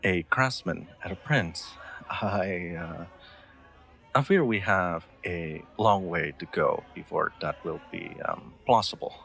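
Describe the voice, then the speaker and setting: A man's voice: sits low, slow, gravelly, a bit bright, full character voice. A man playing an awkward, sweet young royal knight in a roleplay audio drama, speaking formally with hesitant stammers and nervous laughter. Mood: flustered, sheepish.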